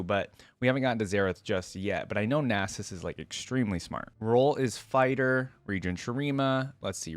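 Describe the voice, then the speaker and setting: A man's voice: fairly low pitch, no echo. A man hosting a reaction video, reading aloud and commenting in an informal, conversational way. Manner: informal, conversational asides with frequent "like".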